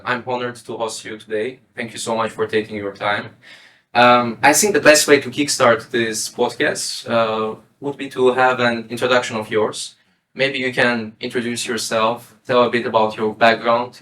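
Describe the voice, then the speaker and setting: A man's voice: mid-range voice, fairly bright tone, low-quality recording. A man hosting a podcast interview in a polite, formal way, introducing and questioning.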